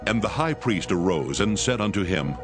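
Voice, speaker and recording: Low-pitched male scripture narrator. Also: lively intonation, moderate pace. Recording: background music under the voice.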